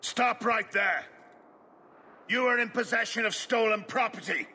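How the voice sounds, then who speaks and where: A man's voice: sits high, measured pace, pushed and bright, a little hiss or hum, heavily acted. An actor playing a commanding male slave hunter leader, barking threatening orders. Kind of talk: video game voice acting.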